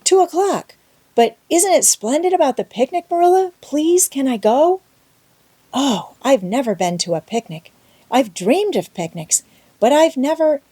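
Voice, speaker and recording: Woman voicing an excitable, breathless young girl. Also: sits high, measured pace, pitched above the performer's own voice. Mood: pleading. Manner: breathless, gushing, dramatic. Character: excitable, talkative, imaginative young orphan girl. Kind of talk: audiobook character voice.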